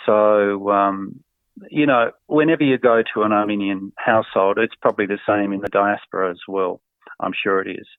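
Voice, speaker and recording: Australian man, middle-aged, gravelly voice, hesitant interviewee, phone-thin sound. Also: on the low side, steady tone, normal speed, no echo. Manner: hesitant, many fillers, warm chuckles. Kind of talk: casual interview answers. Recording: tinny phone-like line, dry.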